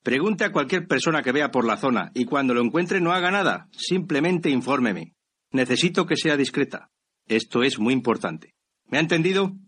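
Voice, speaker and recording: An actor playing a curt, secretive boss who gives clipped, commanding orders in a radio drama. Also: male voice, mid-range voice, animated, normal speed, fairly bright tone, husky. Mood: stern, secretive.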